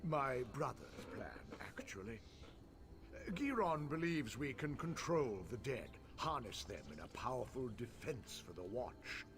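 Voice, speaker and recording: An actor playing a scholarly, impatient fantasy-game mage who muses pedantically and talks down to people. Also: male voice, mid-range voice, very animated, measured pace. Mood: intent. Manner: pedantic, musing, condescending. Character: scholarly elf mage, fascinated by spirits, impatient. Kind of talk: video game character acting.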